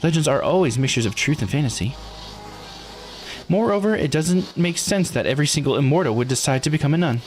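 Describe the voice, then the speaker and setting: A man's voice: fairly high pitch, expressive, a little fast, darkish tone, soft background music, lightly acted. A man voicing an eager, bookish young theorist who explains things earnestly, read aloud from a visual novel.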